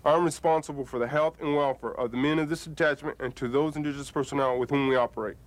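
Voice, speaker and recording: Army medic dude listing his training quick, a bit high voiced. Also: steady tone, rough recording. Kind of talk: scripted military self-introduction.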